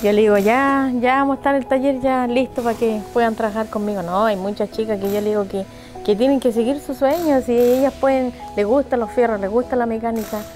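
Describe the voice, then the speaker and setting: A woman's voice: a little high, steady tone, fairly quick, a little mellow, faint music behind. A woman in her thirties from Argentina with an Argentine accent, a mechanic chatting casually about her work in an interview and acting out what people said to her. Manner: chatty, laughs easily, acts out quotes. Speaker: mechanic and business owner. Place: open shed outdoors at her home.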